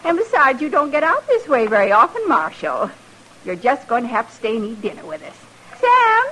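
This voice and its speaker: A woman's voice: on the high side, wildly swooping pitch, brisk, pushed and bright, husky, full character voice. An actress playing a hospitable, chatty frontier ranch woman in a radio western, folksy and welcoming. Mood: welcoming, eager. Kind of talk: old-time radio western acting. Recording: slightly noisy old radio recording.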